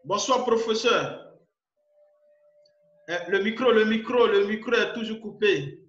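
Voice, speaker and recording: A man's voice: high-pitched, unhurried, a bit bright. A man with an Ivorian French accent hosting an online meeting, urging the members insistently and often repeating words. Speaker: meeting host. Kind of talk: exhorting a group in an online meeting. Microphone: video call. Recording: muffled echoey call audio.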